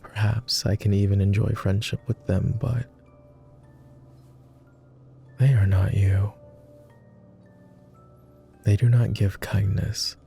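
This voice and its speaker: Man voicing a deep, slow, tender vampire. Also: creaky, dark tone. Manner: tender, earnest, hesitant, somewhat formal speech. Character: devoted, world-weary immortal male vampire companion. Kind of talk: intimate roleplay ASMR voice acting.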